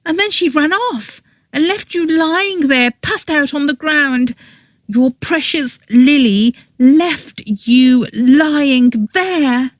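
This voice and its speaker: A woman's voice: extremely high pitch, big pitch swings, slow pace, no echo, voice pushed up, full character voice. A woman voicing a furious, tearful young woman accused of murder, shrieking and bitter. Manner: shrieking, bitter, near tears.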